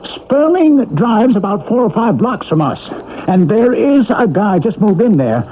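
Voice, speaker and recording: An actor playing an indignant, stubborn husband in a radio drama, protesting and arguing emphatically that things are wrong. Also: male voice, high voice, sing-song, a little slow. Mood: insistent. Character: indignant, stubborn suburban husband insisting he is not dead. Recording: muffled, noisy vintage radio broadcast.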